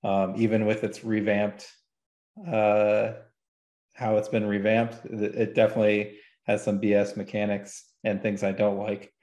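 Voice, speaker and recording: Male podcast guest, lower register, casual and self-correcting, recorded in a reverberant room. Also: little pitch movement, measured pace, dark tone. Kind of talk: casual discussion of game statistics. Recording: roomy, echoey sound.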